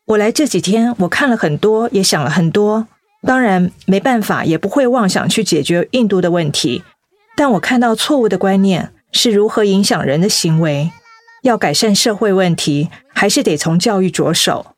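An actress with a Taiwanese accent playing a principled young volunteer in a radio drama, thoughtful, resolute and articulate. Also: female voice, medium pitch, expressive, normal speed, no room sound, close to an everyday voice. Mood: serious, earnest. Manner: earnest, articulate. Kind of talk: Mandarin radio drama acting.

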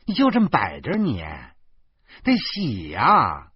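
An exasperated wife played by a male storyteller nags and scolds in an audio story. The voice is husky and swoops up indignantly, stumbling over words. The sound is dry and a little dull. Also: medium pitch, wildly swooping pitch, measured pace, no echo, full character voice. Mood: reproachful.

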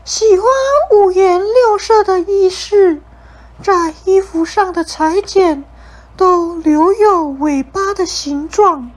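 A woman acting a very high-voiced, dutiful young princess. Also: takes it slowly. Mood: solemn. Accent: Taiwanese Mandarin. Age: young adult. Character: dutiful, earnest young princess, the king's daughter. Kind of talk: student radio drama acting. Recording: music bed behind voice.

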